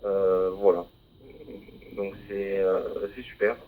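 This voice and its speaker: A man's voice: sits low, level tone, takes it slowly. A man talking over a ham radio link, hesitating with many fillers and spelling call signs phonetically. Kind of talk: radio contact over the air. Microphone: handheld radio microphone, decoded by a receiver. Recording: tinny narrowband digital radio with faint hiss.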